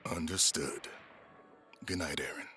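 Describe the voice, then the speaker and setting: Guy doing a loyal pirate second-in-command, deep forceful voice, reasons patiently, bit teasing, faint music behind, audio drama. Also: measured pace, heavily acted. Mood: agreeable, practical. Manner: persuasive, deferential yet teasing. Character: loyal, reasoning male pirate quartermaster, soft-hearted.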